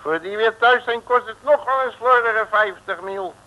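An actor playing a cheeky, sardonic police detective in a colloquial 1960s comic radio serial. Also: male voice, high-pitched, moderate pace, pushed and bright, poor audio. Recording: old radio recording.

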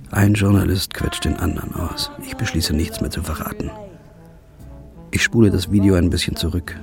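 An actor playing a troubled journalist who narrates his own story in a subdued, low inner monologue. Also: creaky. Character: troubled male journalist, anxious and brooding. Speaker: narrator and lead character, a journalist. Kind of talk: first-person audio drama narration. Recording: music underneath the voice.

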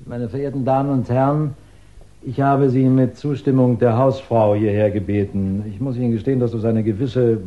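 A man playing a chief inspector, formal and ironically polite, with a mid-pitched voice. He speaks in 1960s radio crime drama style. The sound is dull. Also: steady tone, normal speed, lightly acted. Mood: suave, formal. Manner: formal, orderly, faintly sarcastic.

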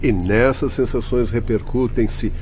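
A man's voice: mid-range voice, a little slow, darkish tone, natural voice. An actor playing a learned, patient spirit doctor and father in a radio soap opera, explaining in a formal, emphatic, didactic way. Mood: didactic, assured. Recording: muffled, noisy radio drama recording.

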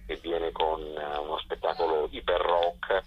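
A man with a very low, husky voice speaks over a muffled phone line. He describes a festival program as its director, pausing often with fillers. The line stays dull and lo-fi throughout. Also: flat delivery. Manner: hesitant, with frequent fillers. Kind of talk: radio phone interview. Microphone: telephone.

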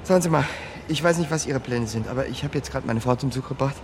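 An actor playing a smooth small-time con man who narrates his schemes with dry, conspiratorial asides in a crime radio play. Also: medium pitch, fast talker, background noise, lightly acted. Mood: feigned friendliness. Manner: conspiratorial, dryly wry asides.

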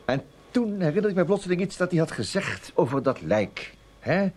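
An actor playing a wry, self-assured investigator in a radio play, explaining himself to a superior. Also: male voice, a little high, sing-song, brisk, darkish tone. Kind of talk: Dutch radio play acting.

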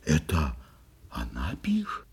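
Very husky, deep, swooping forester. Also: male voice, huge pitch swings, slow pace, exaggerated voice. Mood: puzzled. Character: wary old-fashioned forester, genial and easily amazed. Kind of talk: children's audio play acting.

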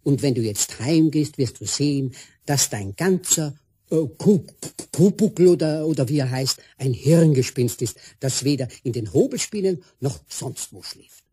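A man's voice: on the high side, lively intonation, measured pace, warm tone, husky, exaggerated voice. A man playing an older mechanic, skeptical and patronizing toward his friend, in a radio play. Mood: amused, doubting.

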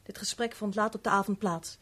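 A woman's voice: medium pitch, steady tone, brisk, a bit bright, natural voice. An actress playing a reserved, guarded young woman who speaks tersely in a 1970s radio drama. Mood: reluctant, subdued. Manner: terse, reticent answers.

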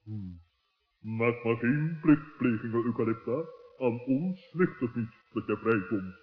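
A man voicing a grumpy, distrustful owl in a children's radio play, gruff and ponderous. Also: medium pitch, lively intonation, a little slow, faint music behind, close to an everyday voice. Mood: stern. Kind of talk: 1960s Dutch children's radio play acting. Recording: muffled, echoey old radio recording.

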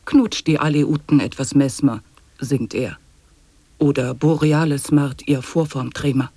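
An actor playing an eccentric chanter of nonsense sound poetry in an avant-garde radio play, husky and prone to whispering. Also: male voice, mid-range voice, steady tone, unhurried, warm tone, no echo. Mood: incantatory, playful.

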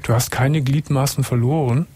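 Calm male radio host asking questions. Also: medium pitch, normal speed, a little hiss or hum. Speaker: radio talk show host. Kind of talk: late-night call-in interview.

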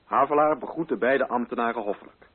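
Male narrator for literary radio drama: medium pitch, husky edge, measured formal delivery, slightly muffled archive sound.

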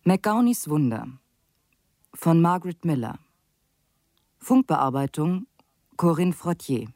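Female announcer for scripted credits: dark, warm tone, dry close sound. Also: fairly low pitch, very animated, slow, no echo.

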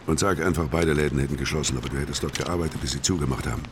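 Gruff killer, extremely deep voice. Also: male voice, rapid-fire, slight background noise. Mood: firm, instructing. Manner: blunt, curt. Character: gruff, guarded male fugitive killer giving blunt advice. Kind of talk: crime radio play dialogue.

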